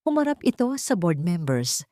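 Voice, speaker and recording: A woman's voice: lowish voice, very animated, normal speed, dark tone, no echo, studio quality. A woman narrating a dramatic romance audiobook from a script.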